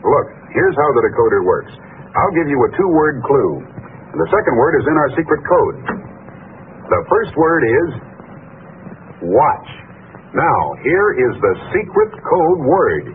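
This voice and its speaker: A man pitching to children as an old-time radio announcer. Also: sing-song, unhurried, pauses often. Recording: tinny old radio recording, faint noise.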